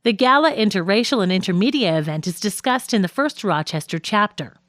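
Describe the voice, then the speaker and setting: A female audiobook narrator reads scripted nonfiction with a mid-range voice and expressive, varied pitch. Her delivery is measured and articulate, captured in a dry, close studio-like sound. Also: dry recording.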